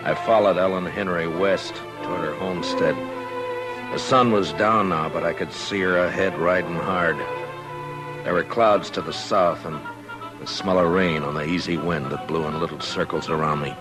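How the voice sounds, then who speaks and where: An actor playing a steady, grave frontier marshal who speaks in a measured, understated way in a 1950s radio western. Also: male voice, low voice, lightly acted, low-quality recording. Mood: grim narration. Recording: 1950s radio drama recording with music.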